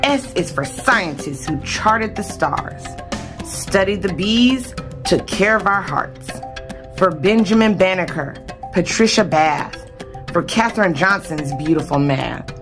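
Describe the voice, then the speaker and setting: Low-voiced, lively woman reading aloud slowly. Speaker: children's book read-aloud host. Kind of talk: expressive read-aloud of a rhyming picture book. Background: music.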